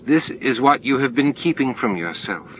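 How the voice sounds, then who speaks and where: A man voicing cryptic alien entities in an audiobook, solemn and insistent, with deliberate, stilted phrasing and pauses between words. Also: medium pitch, expressive, highs cut off, slight background noise, voice pushed up, close to an everyday voice. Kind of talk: audiobook character dialogue.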